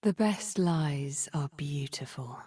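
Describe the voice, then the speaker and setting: Slow, low, breathy haughty sorceress. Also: female voice, lively intonation, heavily acted. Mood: sly. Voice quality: breathy, hushed. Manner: measured, disdainful, theatrical. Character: cunning, haughty sorceress manipulating empires from the shadows. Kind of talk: video game character voice lines.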